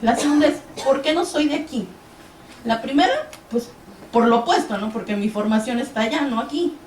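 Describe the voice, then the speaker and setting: A woman's voice: high-pitched, lively intonation, moderate pace, darkish tone, slight background noise. A young woman from Mexico giving an earnest personal account on a panel, acting out quoted exchanges as she tells her story. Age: young adult. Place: event hall. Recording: echoey hall, distant mic.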